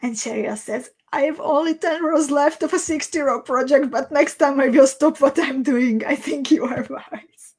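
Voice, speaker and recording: A woman in her thirties with a Hungarian accent, a native Hungarian speaker, chatting casually and haltingly as she hosts a livestream. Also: sits high, darkish tone. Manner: halting, thinking aloud. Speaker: host of a live beading tutorial. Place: small indoor craft room.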